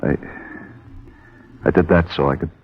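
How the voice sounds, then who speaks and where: Troubled working-class brother, deep voice, halting, heavy-hearted, plain-spoken, muffled old radio drama. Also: male voice, fairly even intonation, faint music behind. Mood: resigned, reluctant. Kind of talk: 1950s radio drama acting. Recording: dull vintage radio recording.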